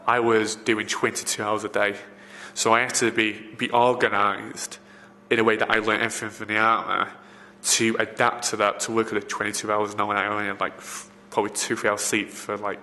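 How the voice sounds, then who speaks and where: A man's voice: on the low side, flat delivery, brisk, few pauses, pushed and bright. A man, a film location assistant, giving rambling interview answers full of fillers and restarts. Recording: reverberant room, faint background noise.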